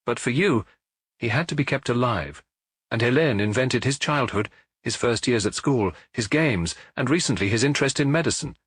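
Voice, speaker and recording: A man voicing a weary older police detective who questions calmly and firmly, with measured compassion. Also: mid-range voice, slightly rough, dry recording. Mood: matter-of-fact.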